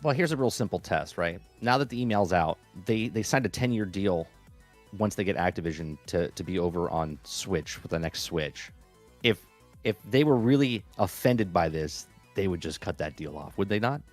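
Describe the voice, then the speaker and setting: A man's voice: low voice, animated, normal speed, faint music behind. A man guesting on a gaming podcast, talking casually with rhetorical questions and stop-start phrasing. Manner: hesitant, many fillers and restarts, rhetorical questions. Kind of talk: casual gaming news chat.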